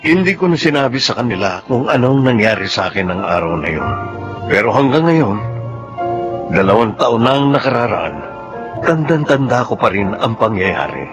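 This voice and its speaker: A man's voice: mid-range voice, sing-song, moderate pace, over music, close to an everyday voice. An actor with a Filipino accent playing a male office worker in a dramatic audio story. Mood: solemn. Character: boastful male office worker, later shaken and remorseful. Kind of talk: Filipino radio drama acting and narration.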